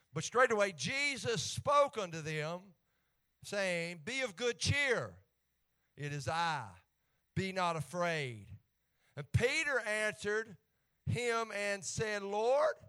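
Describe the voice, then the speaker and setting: Appalachian preacher guy, folksy and jokey, gets fervent reading scripture, kinda high voice. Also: pushed and bright. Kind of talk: sermon.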